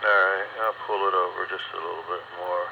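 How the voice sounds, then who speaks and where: A man's voice: low-pitched, flat delivery, fairly quick, gravelly. A man chatting casually over hobby radio, using folksy radio lingo. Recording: dull, very noisy radio transmission. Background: loud radio noise.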